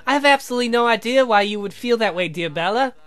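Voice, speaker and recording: A woman voicing a sweet, demure, apologetic young lady from a visual novel, polite and gentle in manner. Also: on the high side, expressive, fast. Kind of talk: theatrical visual novel character reading.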